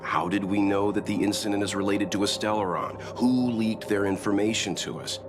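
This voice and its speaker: A man playing a calm, prudent middle-aged mentor in a video game, speaking in a measured, reasoned and reassuring way. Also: fairly low pitch, natural voice. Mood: cautioning. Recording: music behind the voice.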